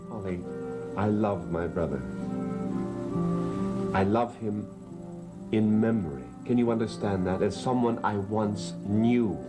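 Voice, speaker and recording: A man playing a grave, earnest gentleman in a vintage TV soap opera. His low voice stays measured and serious, while loud music plays beneath his lines. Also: natural voice. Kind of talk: 1960s soap opera acting. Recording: old TV soundtrack under loud music.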